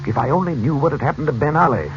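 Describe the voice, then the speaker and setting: An actor in 1940s radio style playing a grave, protective uncle who is a magician hero, speaking with measured authority. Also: male voice, fairly high pitch, animated, close to an everyday voice. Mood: urgent, guarded. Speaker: actor in a radio adventure serial. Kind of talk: 1940s radio drama acting. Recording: muffled vintage 1940s radio, music behind.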